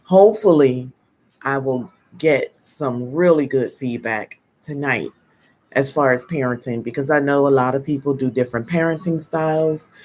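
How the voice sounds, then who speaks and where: A woman hosting a casual live stream. She is congested from a head cold and greets viewers slowly, with frequent ums. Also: a bass voice, a little mellow, slight background noise. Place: at home in New Jersey.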